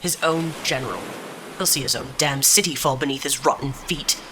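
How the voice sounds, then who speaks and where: A low female voice, an actress in role plays a brash army general in a fantasy audio drama. She is blunt and commanding, with clear background noise and ambience around her. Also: moderate pace. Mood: bitter, commanding.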